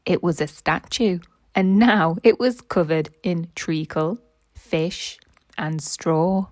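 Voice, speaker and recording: A woman's voice: lowish voice, takes it slowly, a little mellow, no echo, clean studio sound. A woman reading a children's story aloud as narrator and quiz host.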